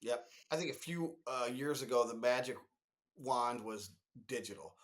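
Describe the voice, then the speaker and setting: A man's voice: mid-range voice, lively intonation, normal speed. A man with a mid-range voice chats as co-host of a business podcast. He explains with emphasis and slips into imagined quotes to illustrate his points. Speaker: podcast co-host discussing marketing. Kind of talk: conversational business podcast discussion.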